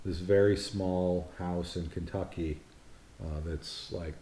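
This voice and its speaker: A man's voice: sits low, fairly even intonation, slow pace, mellow, roomy sound. A man in his fifties from the United States, co-hosting a casual vlog and chatting in a rambling, teasing way with frequent restarts. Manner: rambling, self-correcting, teasing. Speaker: vlog co-host. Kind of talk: casual chat.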